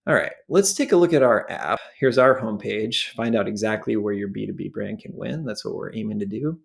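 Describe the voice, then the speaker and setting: A man's voice: mid-range voice, vocal fry. A man hosting a product walkthrough, explaining things in short phrases as commentary. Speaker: show host and presenter.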